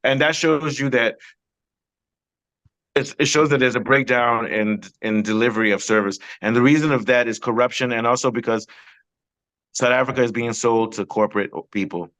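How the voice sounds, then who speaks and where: A man from Nigeria, hosting a political discussion, talking animatedly in quick, persuasive bursts. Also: mid-range voice, fairly even intonation, fairly bright tone, no room sound, low-quality recording. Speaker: host.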